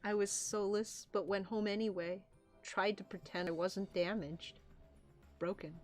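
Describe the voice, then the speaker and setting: A woman's voice: mid-range voice, steady tone, measured pace, darkish tone, faint music behind, lightly acted. A woman voicing a weary mother rabbit from a comic, solemn and subdued as she speaks. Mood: subdued grief. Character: weary, forgiving mother rabbit scarred by loss.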